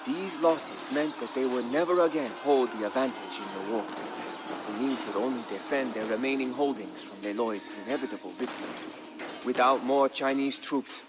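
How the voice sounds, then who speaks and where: Solemn male narrator over music. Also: medium pitch. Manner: measured, solemn storytelling. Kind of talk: scripted dramatic historical narration. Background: background music.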